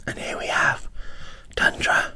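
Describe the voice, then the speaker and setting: A woman whispering in a breathy, hushed, unhurried way, as if hosting an ASMR unboxing and describing small details. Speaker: host of a doll unboxing video.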